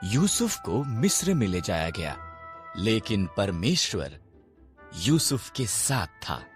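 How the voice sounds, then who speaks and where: A man narrating a scripted children's Bible story in a deliberate, dramatic storytelling manner. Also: on the low side, sing-song, soft background music.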